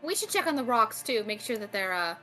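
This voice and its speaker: A woman role-plays casually at a tabletop game. Her pitch is high and very lively, and she often halts and repeats words. Soft music runs behind her. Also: a little fast. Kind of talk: casual in-character role-play chat.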